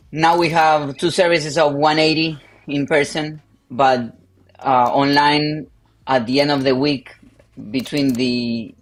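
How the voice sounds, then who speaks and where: A Spanish-speaking pastor from Argentina with an Argentine accent, speaking English in a panel conversation, halting with many fillers. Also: male voice, mid-range voice. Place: livestream call.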